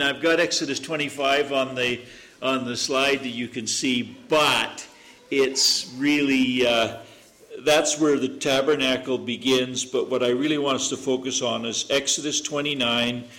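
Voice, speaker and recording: A man preaching a sermon to a congregation, reading scripture, praying, and joking in asides with a loud voice. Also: mid-range voice, steady tone, unhurried. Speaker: guest preacher. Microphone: microphone through speakers. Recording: echoey room.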